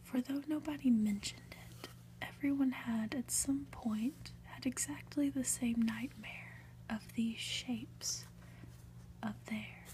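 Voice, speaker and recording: A woman reading a storybook aloud in a deliberate ASMR whisper. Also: on the high side, mellow, rough recording. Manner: whispering, slow, deliberate delivery with occasional asides. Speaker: ASMR reader.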